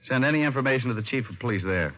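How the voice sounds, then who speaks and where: An actor playing a shrewd, confident private detective, wry and colloquial, in an old-time radio drama. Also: male voice, mid-range voice, animated, racing, heavy background noise, close to an everyday voice. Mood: brisk, shrewd. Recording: dull vintage radio recording.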